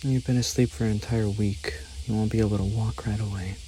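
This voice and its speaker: A man's voice: on the low side, fairly even intonation, a little fast, warm tone, slight background noise, lightly acted. A man voicing a cool, detached young government devil hunter in an anime fan dub. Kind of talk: anime fandub acting.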